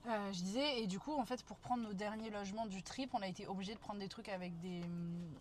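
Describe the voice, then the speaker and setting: A young woman chatting informally and slangily with live viewers, soft-spoken by nature. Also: mid-range voice, quick pace, slight background noise. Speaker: streamer, travel vlogger. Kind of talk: casual live chat with viewers.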